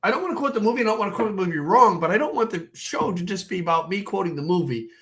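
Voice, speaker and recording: A man from Pennsylvania with a raspy, hoarse voice after being sick, co-hosting a podcast in rambling casual chat. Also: sits high, lively intonation, rapid-fire, a bit bright. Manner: rambling, repeats himself. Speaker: podcast co-host.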